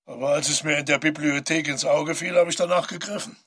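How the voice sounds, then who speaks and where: An actor playing an introspective, haunted man's inner voice in a measured, confiding audio drama monologue. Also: fairly high pitch, fairly even intonation, a bit bright.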